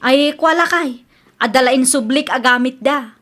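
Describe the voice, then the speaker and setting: A high, sharp-voiced young Ilocano housewife, acted by a woman, brash and evasive. She brushes people off defensively in a radio comedy drama. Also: moderate pace. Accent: Ilocano Filipino. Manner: defensive, dismissive.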